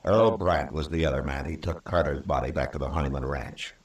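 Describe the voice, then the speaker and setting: An actor playing a steady, measured U.S. marshal in an Old West radio drama, plain-spoken and probing. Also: male voice, a bass voice. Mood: grave. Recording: roomy old radio recording, distant from mic.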